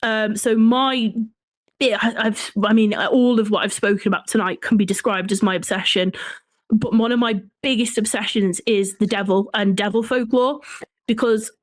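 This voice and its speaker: A woman's voice: mid-range voice, steady tone, normal speed, no room sound. English woman, earnest and talkative, dry close sound. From Shropshire, England. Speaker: interview guest, folklore writer. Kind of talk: conversational interview.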